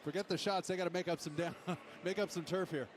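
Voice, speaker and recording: A man's voice: sits high, fairly even intonation, fast, slight background noise. A man giving colloquial, explanatory color commentary on a football broadcast. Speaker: color analyst on a game broadcast.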